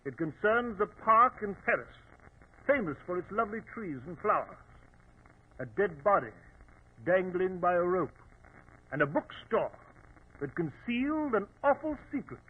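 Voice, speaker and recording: High, husky gentleman sidekick, radio. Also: big pitch swings, moderate pace, pauses often, heavily acted. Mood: storytelling relish. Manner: earnest, questioning, gentlemanly. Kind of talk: 1940s radio acting. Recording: tinny old radio recording.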